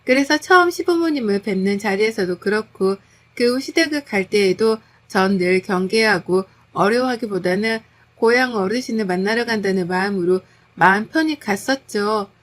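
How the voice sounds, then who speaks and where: Woman calmly reading a personal story. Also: medium pitch, normal speed. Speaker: narrator reading a submitted story. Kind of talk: scripted first-person story narration.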